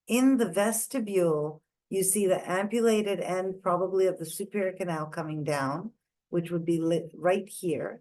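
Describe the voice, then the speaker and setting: A woman's voice: fairly low pitch, darkish tone. A woman from India giving a methodical online lecture, pointing out structures on images one by one. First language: not English. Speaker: lecturer on ear anatomy. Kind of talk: online lecture walking through scans.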